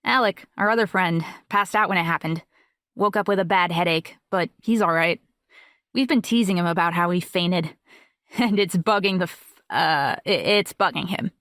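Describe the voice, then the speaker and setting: A woman's voice: lowish voice, moderate pace, fairly bright tone, no room sound, natural voice. Woman acting a young female audiobook character, self-assured, talkative, matter-of-fact, conversational, dry close sound. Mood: casual, confiding.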